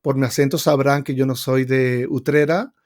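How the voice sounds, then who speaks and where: Venezuelan man, mellow thoughtful voice. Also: medium pitch, fairly even intonation, normal speed. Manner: thoughtful, many fillers and restarts. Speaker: guest researcher. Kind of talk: conversational interview answers.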